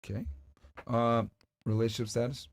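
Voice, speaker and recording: A man's voice: mid-range voice, flat delivery, normal speed. Man questioning a guest, matter-of-fact. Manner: probing, matter-of-fact, stammers on restarts. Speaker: podcast host interviewing a guest. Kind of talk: casual questioning interview.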